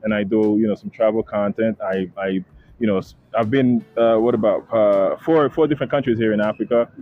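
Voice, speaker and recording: A man's voice: lowish voice, a little fast. A young man from Haiti, a travel content creator, chatting casually as an interview guest with lots of fillers and repeated words. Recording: dull lo-fi sound, faint noise.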